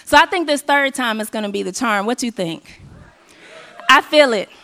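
A hoarse woman from Arkansas, hosting a live event on a stage mic and rallying the audience with call and response. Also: a little high, moderate pace. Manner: energetic, rallying the crowd. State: hoarse, losing her voice. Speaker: event emcee. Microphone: handheld stage mic. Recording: live hall with faint audience.

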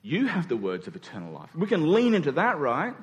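A man's voice: fairly high pitch, wildly swooping pitch, a little fast. A man preaching a conversational sermon in an informal way, acting out dialogue in everyday words. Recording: long hall reverb.

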